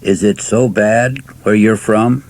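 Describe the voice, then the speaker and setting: Gentle, firm storybook father, acted by a male narrator: deep, husky, deliberate, full of compassion. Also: steady tone. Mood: tender. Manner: slow, tender, deliberate.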